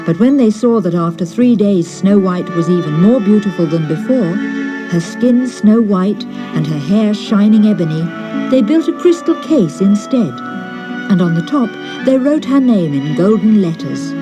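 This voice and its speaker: Animated woman storyteller over music. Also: mid-range voice, normal speed, low-quality recording. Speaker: audiobook narrator. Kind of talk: expressive fairy-tale storytelling.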